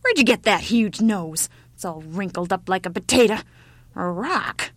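A woman voicing a cranky, sharp-tongued old woman in a storybook, with a snappish, growling, scolding manner. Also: mid-range voice, expressive, moderate pace, no room sound. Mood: gruff scolding. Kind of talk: storybook character voice.

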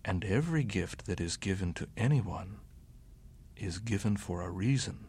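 A man voicing a great silver male wolf who speaks slowly, gently and deliberately. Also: sits low, expressive, breathy edge, heavily acted. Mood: gentle.

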